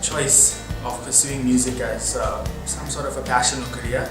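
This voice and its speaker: A young man, talking in a halting way with fillers. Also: mid-range voice. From South Africa. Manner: flat, halting delivery with fillers. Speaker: music student. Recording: very echoey room with music.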